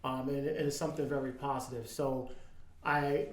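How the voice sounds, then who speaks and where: A man in his fifties speaking in casual chat as a podcast guest, earnest and halting, with many ums and restarts. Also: medium pitch, little pitch movement, echoey room, rough recording. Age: 50s.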